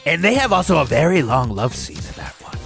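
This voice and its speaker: A man's voice: a little high, sing-song, fast talker, creaky, a bit bright, faint music behind, exaggerated voice. A man playing a goofy radio sidekick who riffs absurd asides in improvised comedy banter. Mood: silly riffing.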